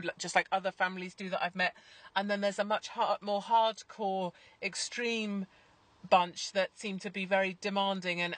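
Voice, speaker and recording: A woman's voice: fairly low pitch, fairly bright tone, dry recording. A woman, speaking as a reporter and interviewer.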